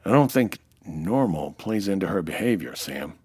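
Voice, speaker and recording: A man's voice: sits low, sing-song, moderate pace, a little mellow, breathy edge, full character voice. A man with an American accent playing a dry, wisecracking, hard-boiled nightclub owner in a 1940s-style radio detective drama. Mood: wry, exasperated. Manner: dry, wisecracking, world-weary.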